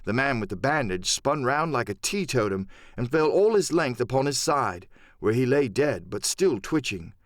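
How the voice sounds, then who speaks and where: Male audiobook narrator telling an adventure story, very animated pitch, dry close sound. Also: normal speed, no echo. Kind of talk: dramatic scripted first-person storytelling.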